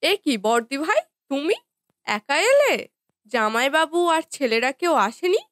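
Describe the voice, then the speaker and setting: A woman playing a dutiful, gentle young daughter-in-law in a cartoon family drama, with a deferential, soothing manner. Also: high voice, sing-song, unhurried, slight background noise, heavily acted. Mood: obliging.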